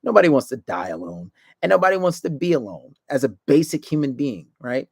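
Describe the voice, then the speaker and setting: A man's voice: medium pitch, sing-song, a little fast, creaky, breathy edge, no room sound, studio quality. A man hosting a talk show, speaking casually and bluntly, giving opinions in coarse language.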